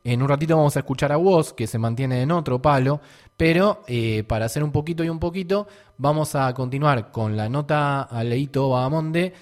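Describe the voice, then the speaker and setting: A man's voice: medium pitch, normal speed, a little mellow. A man with an Argentine accent, hosting a radio show and commenting on music in a conversational way. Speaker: radio host.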